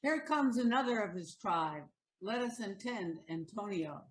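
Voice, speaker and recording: A woman playing a wry, sharp-tongued Venetian townsperson in a Shakespearean audio play, speaking in a stagey, declamatory way. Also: medium pitch, expressive, a little slow, lightly acted. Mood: mocking.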